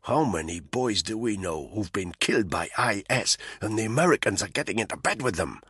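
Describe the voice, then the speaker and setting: A blunt, foul-mouthed male soldier spits out his words in a bitter hiss, as a male narrator puts him on. His voice is husky and dry, close to the ear. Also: lowish voice, expressive, fairly quick, a bit bright, no echo. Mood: disgusted. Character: coarse, angry male soldier.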